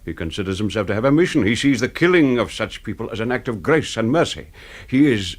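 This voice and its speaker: An actor playing a cold, menacing older master of a house in a radio drama, speaking in a measured, formal, condescending way. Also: male voice, lowish voice, big pitch swings. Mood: quiet menace.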